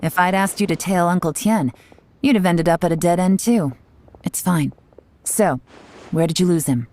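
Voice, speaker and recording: A woman voicing a composed, authoritative woman leader in a game, speaking in a measured way. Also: on the low side, darkish tone, close to an everyday voice. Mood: calm. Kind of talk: game dialogue. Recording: game audio with music behind.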